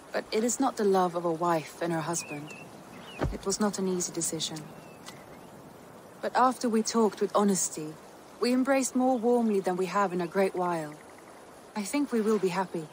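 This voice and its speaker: A woman's voice: mid-range voice, a little hiss or hum, natural voice, low-quality recording. Composed, tender Viking noblewoman played by an actress. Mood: earnest, calm. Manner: measured, earnest, formal phrasing. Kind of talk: dramatic video game cutscene acting.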